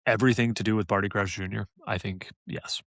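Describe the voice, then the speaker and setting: A man's voice: on the low side, steady tone, quick pace, fairly bright tone, no room sound, studio quality. A man co-hosting a podcast, chatting casually and enthusiastically with emphatic praise. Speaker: podcast host. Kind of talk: casual review chat.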